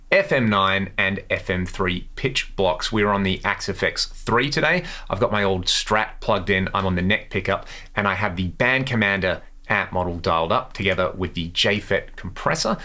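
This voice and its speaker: A man's voice: lowish voice, pushed and bright. A man hosting a guitar gear tutorial, explaining things step by step in a casual, conversational way. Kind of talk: instructional demo, casual explanation.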